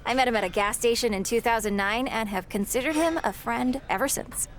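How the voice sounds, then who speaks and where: A woman's voice: on the high side, brisk, natural voice. An actress playing an eager, boastful young female wrestler in a video game cutscene, chatty and upbeat with a defiant edge. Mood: friendly, chatty.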